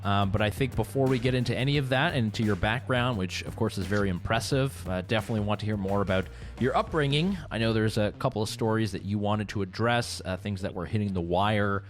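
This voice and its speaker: A male radio talk show host giving opinionated commentary in a sarcastic, animated way. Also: on the low side, quick pace, hardly any pauses, faint music behind. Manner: animated, sarcastic, laughs while ranting.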